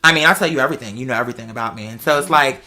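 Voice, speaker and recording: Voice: medium pitch, very fast, sharp tone. An American adult chatting casually and telling personal stories, speaking emphatically and repeating phrases for stress. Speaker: talk show host. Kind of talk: casual personal chat, storytelling.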